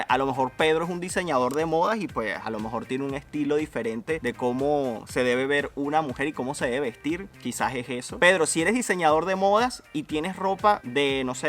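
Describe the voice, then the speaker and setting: A young man with a Venezuelan accent, calmly reading and answering comments from viewers in a casual video. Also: a little high. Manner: calm and musing, addresses viewers directly. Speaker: YouTuber host.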